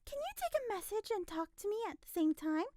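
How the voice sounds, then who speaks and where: A woman reading aloud puts on a meddling, haughty lady from an old storybook. The voice jumps far above her normal pitch and gets loud and piercing. Every question comes out sharp and incredulous, recorded close and dry. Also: big pitch swings, a little fast, no echo. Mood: prying. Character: prying, haughty woman with the big nose.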